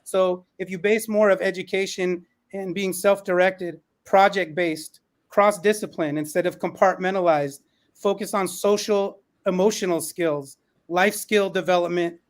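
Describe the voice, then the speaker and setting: A man with a notably high-pitched voice speaks as an educator on a panel. He is emphatic and opinionated, building his argument through lists and short repeated phrases. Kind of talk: panel discussion, opinion talk.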